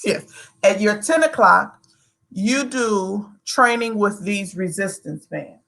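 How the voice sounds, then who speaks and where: A woman co-hosting a talk show, asking questions in a measured, deliberate way. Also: medium pitch, lively intonation, creaky, fairly bright tone. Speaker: show co-host. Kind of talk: casual chat.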